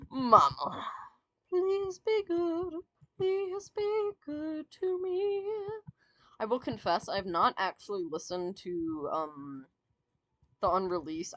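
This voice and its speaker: A woman chats her way through a song ranking, drawn out and full of fillers, giggles and restarts. Her voice has gone a little raspy and sore from long talking. She speaks slowly, and the sound is dry and close. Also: medium pitch, expressive, fairly bright tone, no room sound, muffled recording. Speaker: VTuber streamer. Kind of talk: casual fan commentary, ranking songs.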